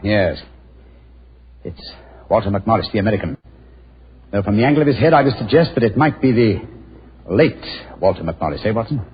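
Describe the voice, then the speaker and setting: Guy acting a shrewd british detective, old radio drama, really lively pitch, talks fast, bit condescending. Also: lightly acted. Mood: coolly analytical. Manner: crisp, analytical, slightly condescending. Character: brisk, shrewd, self-assured male English detective. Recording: muffled, noisy old 1940s radio recording.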